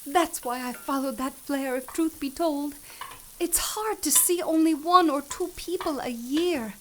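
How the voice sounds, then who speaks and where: A woman's voice: high-pitched, unhurried, a bit bright, a little hiss or hum, low-quality recording. A woman voicing an eccentric naturalist who talks in a prim, wordy, oddly cheerful way. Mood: whimsical. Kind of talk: audio drama acting.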